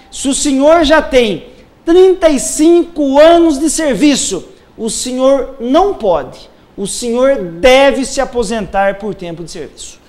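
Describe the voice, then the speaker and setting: A man's voice: extremely high pitch, a little mellow. A man working as a social security lawyer, methodically explaining pension rules step by step on a live TV program. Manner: methodical, lays out cases step by step.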